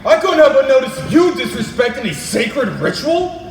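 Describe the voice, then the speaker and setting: A man's voice: very high voice, expressive, moderate pace, soft background music. A man playing a pompous, bullying space commander in exaggerated comic stage style, declaiming and barking. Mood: zealous, indignant. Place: large echoing competition room, live performance. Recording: distant echoey hall, noisy background.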